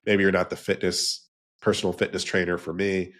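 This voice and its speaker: Deep-voiced man, nasal from a cold. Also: level tone, brisk, a bit bright. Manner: rambling, restarts, lists in threes. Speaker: podcast guest, branding business co-founder. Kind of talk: casual interview talk.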